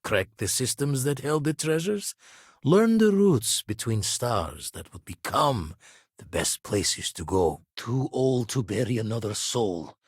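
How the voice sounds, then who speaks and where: An actor playing an older retired space pirate turned astronomer in a video game, wry and reflective, with clipped, folksy phrasing and a husky voice. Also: male voice, mid-range voice, a little mellow, dry recording, studio quality. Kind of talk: video game character voice acting.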